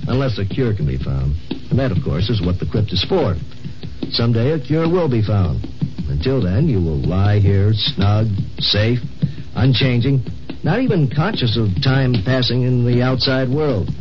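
An actor playing a calm, theatrical doctor in 1950s radio drama, measured and solemn. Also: male voice, medium pitch, over music, lightly acted. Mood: solemn, soothing. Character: calm, theatrical male doctor running a time crypt. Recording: muffled, noisy old radio recording.

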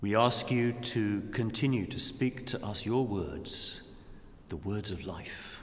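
Middle aged guy preaching really slow n solemn, lowish voice, big echoey room. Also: animated. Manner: solemn, measured, with long pauses between phrases. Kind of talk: reflective sermon and prayer. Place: large dim indoor room. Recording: long echoing reverb of a hall.

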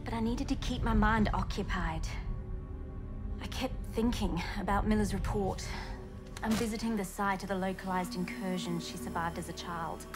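A woman's voice: medium pitch, close to an everyday voice. A young woman playing a headstrong scientist daughter in a video game, defiant and wounded, with a pointed manner. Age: young adult. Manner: pointed, challenging. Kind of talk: dramatic video game cutscene acting. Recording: music behind the voice.

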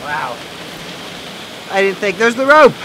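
A man's voice: sits high, sing-song, slow, bright, forceful tone, slight background noise, poor audio. A young man hosting an outdoor exploring vlog, joking and mock-dramatic as he rambles through the woods, recorded on a camera mic outdoors. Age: young adult. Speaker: host. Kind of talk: outdoor hiking vlog with voice-over narration. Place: wooded hillside outdoors.

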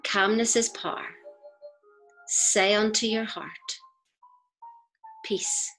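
A woman reads scripted inspirational narration in a measured, deliberate way, with pauses between phrases. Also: medium pitch, level tone, a bit bright, low-quality recording. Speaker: audiobook reader. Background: faint music.

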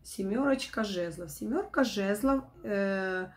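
A middle-aged woman with a not fully recovered voice, giving an informal tarot forecast to camera in a halting, rephrasing way. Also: medium pitch, slight background noise. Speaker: tarot reader, host. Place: small room at home, indoors.

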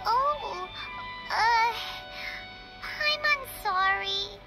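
A woman's voice: very high-pitched, lively intonation, extremely slow, heavily acted. A woman voicing a tiny, childlike, chirpy fairy companion in a video game, exclaiming and calling herself by name. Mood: tearful, sorry. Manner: refers to self by name, exclamatory. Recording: game audio under loud background music.

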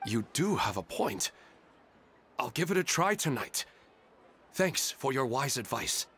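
An actor playing a polite, gentle widower from a village in a video game, courteous and a little hesitant. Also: male voice, medium pitch, sing-song, unhurried, a little hiss or hum. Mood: grateful. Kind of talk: video game character acting.

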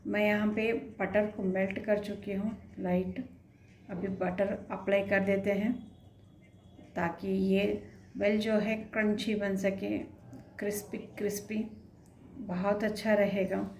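A woman walks viewers through a snack recipe in her home kitchen, telling them what to do at each step. Her voice is warm and mellow and stays fairly level in pitch. A faint household hum sits under the recording. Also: level tone, takes it slowly. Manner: instructive, addresses viewers directly. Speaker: cooking channel host.

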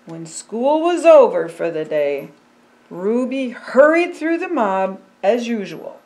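An older woman, a pastor, reading a children's picture book aloud in a deliberate, expressive storytelling manner. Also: fairly high pitch, rough recording. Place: indoors by a fireplace.

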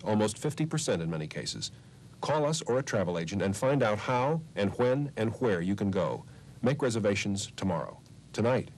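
A man's voice: lowish voice, a little mellow, poor audio. A middle-aged man delivering a scripted commercial address to camera as an airline spokesman.

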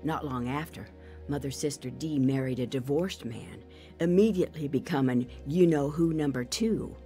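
An actress playing a grown woman narrating her painful childhood, measured and reflective, as scripted testimony. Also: a bass voice, dark tone, faint music behind. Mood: somber.

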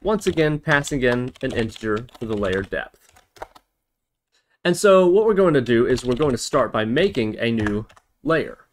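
A man's voice: medium pitch, big pitch swings, moderate pace, a little hiss or hum. A man narrating a programming tutorial, explaining step by step and thinking aloud as he goes. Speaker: tutorial host.

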